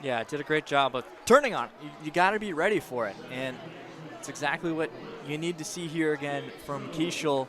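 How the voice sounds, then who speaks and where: A man's voice: fairly high pitch, fairly quick, over music. A man giving live baseball color commentary, explaining and judging the play in a conversational, opinionated way. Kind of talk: live analytical sports commentary. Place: outdoor baseball stadium broadcast position.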